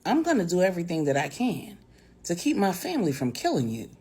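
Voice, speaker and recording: A woman storyteller voicing a calm, devout, quietly steely woman who chides gently and speaks with assurance. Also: lowish voice, a little fast, slightly rough, full character voice. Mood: earnest, persuasive.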